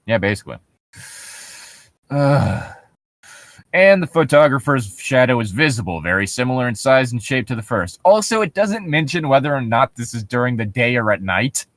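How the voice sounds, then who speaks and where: A man co-hosts a casual comedy reading show in a sardonic, sweary manner. His pitch is lively and swings around as he gets exasperated. The sound is dry, with very little room in it. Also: normal speed, no room sound. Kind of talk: casual riffing while reading horror stories aloud.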